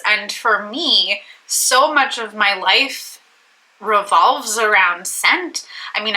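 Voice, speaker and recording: A woman's voice: on the high side, bright, forceful tone, low-quality recording. A young woman vlogging about beauty products in a casual, rambling, self-deprecating way, sounding stuffy and congested. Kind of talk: casual chat to camera.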